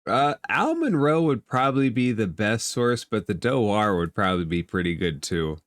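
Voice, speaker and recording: A man's voice: fairly low pitch, very animated, normal speed, no room sound, clean studio sound. A man working as game master, narrating a tabletop game off the cuff in a conversational, explanatory way.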